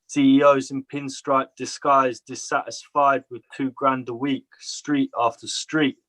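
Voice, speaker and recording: A man reading his own poem aloud in a slow, measured way over a video call. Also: medium pitch, flat delivery, a bit bright, no echo. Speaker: poet.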